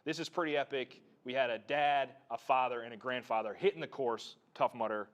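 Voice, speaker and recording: A man's voice: medium pitch, brisk. A man co-hosting a show, upbeat and joking, often laughing as he talks. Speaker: co-host.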